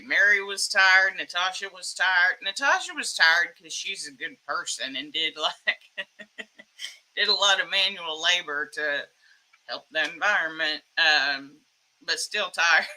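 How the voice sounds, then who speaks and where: A woman hosting a casual live stream, a little hoarse from losing her voice, rambling and laughing often as she greets her chat. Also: fairly low pitch, rough recording. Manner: excitable, reading chat names aloud.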